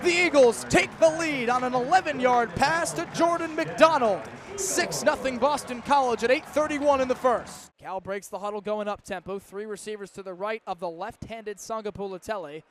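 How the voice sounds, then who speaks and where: A young man with a very high voice does live football play-by-play for radio. His short, clipped phrases set the scene and swell into stretched-out, excited calls. Faint stadium noise sits behind him. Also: moderate pace. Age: young adult. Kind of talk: live radio sports commentary.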